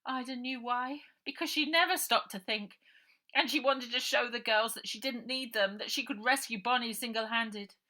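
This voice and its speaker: A woman narrating her own children's novel in an expressive, suspenseful way, often dropping to a breathy near-whisper. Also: a little high, moderate pace, low-quality recording. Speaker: author reading own novel. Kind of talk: dramatic children's audiobook narration.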